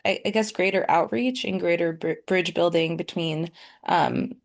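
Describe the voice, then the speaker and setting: A woman answers a panel question haltingly, filling her pauses with ums and starting over mid-thought. Her voice is lowish and gravelly with vocal fry. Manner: hesitant, many ums and restarts. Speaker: panel guest. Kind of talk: casual panel discussion.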